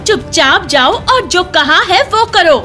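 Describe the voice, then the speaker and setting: A scornful young sister-in-law in an audio drama. The voice sits very high and swings about a lot. She taunts and commands, and faint music plays behind. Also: lively intonation, slow, sharp tone, full character voice. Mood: taunting.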